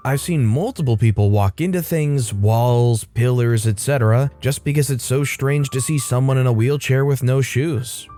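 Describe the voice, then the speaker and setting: A man narrating a Reddit story in a steady, scripted reading voice. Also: mid-range voice. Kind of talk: reading a Reddit post aloud.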